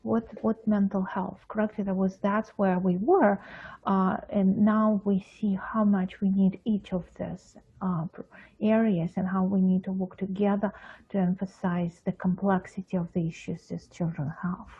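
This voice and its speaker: A woman's voice: mid-range voice, little pitch movement, moderate pace, breathy edge. Russian-accented woman on a tinny line. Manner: hesitant, many fillers and restarts, non-native grammar. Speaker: pediatric psychologist guest. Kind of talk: interview answers. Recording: phone-like lo-fi call audio.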